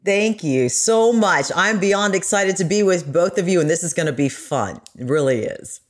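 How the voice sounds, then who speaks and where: A woman's voice: lowish voice, animated, normal speed. A woman with a polished broadcast anchor's voice, talking reflectively as a podcast guest. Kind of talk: reflective conversational discussion.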